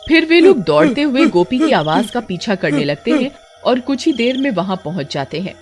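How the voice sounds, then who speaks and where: A woman's voice: a little high, very animated, moderate pace, soft background music. A woman giving scripted narration of a horror story.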